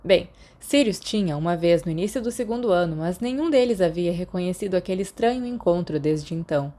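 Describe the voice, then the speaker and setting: A woman's voice: lowish voice, lively intonation, fairly quick, dark tone. A woman with a Brazilian accent reading scripted fiction aloud as a narrator. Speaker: podfic narrator.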